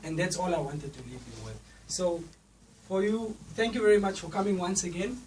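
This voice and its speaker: A young man, a student, giving a loud, rousing speech at an event. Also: sits high, normal speed. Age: young adult. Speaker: student association speaker. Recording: distant mic, faint noise.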